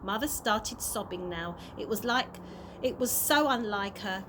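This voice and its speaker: A woman reading a children's chapter book aloud as an expressive storyteller. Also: medium pitch, a little slow. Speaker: early years practitioner reading a story. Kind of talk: children's audiobook read aloud. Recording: noisy amateur home recording.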